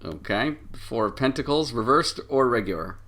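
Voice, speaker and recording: A man acting as game master, narrating a story game and reading card meanings aloud in a conversational way with hesitant pauses. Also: medium pitch, animated, a bit bright. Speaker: game master of tabletop role-playing show.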